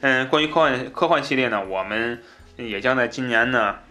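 A man's voice: medium pitch, moderate pace, sharp tone, soft background music. A man hosting a book podcast, chatting in a colloquial way and given to chuckling at his own asides. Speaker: podcast host. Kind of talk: casual book talk show chat.

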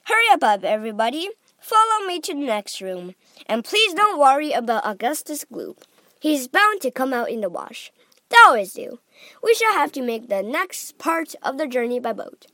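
An eccentric man who owns a chocolate factory, acted out by a woman reading a storybook. The voice is high and bright, theatrical and exclamatory, with big swoops. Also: very animated, moderate pace, no echo, studio quality, exaggerated voice. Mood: brisk, cheery. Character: frantic, eccentric male chocolate factory owner.

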